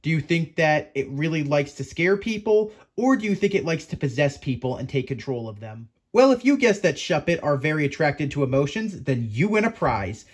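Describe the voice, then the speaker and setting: A man's voice: a little high, very animated, normal speed, few pauses. A man presenting to viewers as a playful professor host, chatty and theatrical, often putting questions to the audience. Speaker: host of a Pokemon education show.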